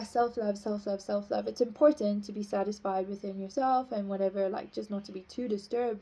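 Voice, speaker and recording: A woman's voice: mid-range voice, mellow, low-quality recording. Rambling woman giving a spiritual talk. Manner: rambling, many fillers and restarts. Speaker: spiritual teacher.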